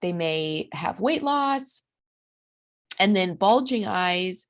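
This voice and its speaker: A middle-aged woman teaches from her slides in an easy, conversational way, pausing on plenty of fillers. Her voice sits on the low side and is raspy and gravelly, dry from a recent illness. It sounds like a webcam recording made at home. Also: lively intonation. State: recovering from illness. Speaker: instructor. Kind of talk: recorded lecture over slides.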